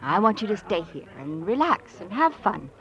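An actress playing an affectionate, considerate young fiancée, gently persuasive, in an old-time radio drama. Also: female voice, mid-range voice, very animated, moderate pace. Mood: affectionate, cheerful. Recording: noisy, muffled vintage radio recording.

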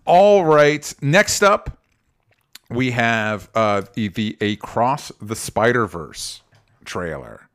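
Animated rambling male podcast co-host. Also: medium pitch, takes it slowly, clean tone. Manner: animated, rambling with restarts and hedges. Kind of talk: casual pop-culture chat.

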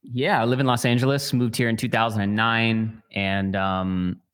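A man's voice: lowish voice, level tone, normal speed, fairly bright tone, clear, resonant tone. A man, a church location pastor, chatting easily and joking as a podcast guest. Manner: easygoing, joking. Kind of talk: casual podcast chat.

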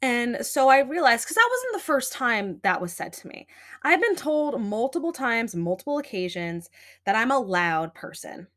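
Chatty, animated young woman podcaster. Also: fairly high pitch, normal speed. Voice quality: loud talking voice. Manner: animated, emphatic, chatty with many fillers. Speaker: podcast host. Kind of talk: casual solo podcast talk.

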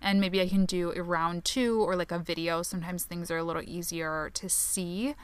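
A woman's voice: on the low side, fairly even intonation, moderate pace, smooth, dry recording. A woman hosting a solo podcast in a chatty, upbeat way, with fillers, and her voice sounding tired and strained. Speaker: podcast host. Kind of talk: solo podcast monologue on advertising.

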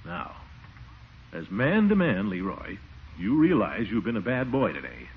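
Pompous, blustering American uncle, hugely swooping delivery, vintage radio comedy, dull hissy recording. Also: male voice, fairly low pitch, very animated, unhurried. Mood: stern. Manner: pompous, flustered, chuckling bluster. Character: pompous, blustering middle-aged uncle, easily exasperated. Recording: muffled, hissy old radio broadcast.

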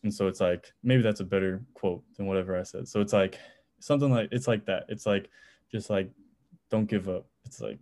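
A young man in college, a music producer, talking as a podcast guest in a relaxed, rambling way. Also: sits low, a little fast. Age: young adult. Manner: relaxed, rambling, many "it's like" fillers. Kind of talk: casual interview chat.

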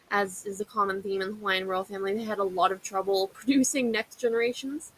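Young woman, podcast host, casual history storytelling, slightly high voice, chatty with lots of fillers. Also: a bit bright, poor audio.

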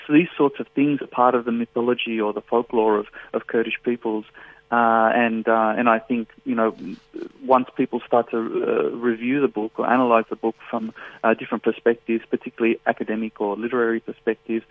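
A man talks in a flat, even way over a tinny phone-like line. His first language is Farsi, and he answers as a radio guest, thinking aloud with many ums and restarts. The sound is dry and close. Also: mid-range voice, a little fast, no echo. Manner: thoughtful, many fillers and restarts. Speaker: translator.

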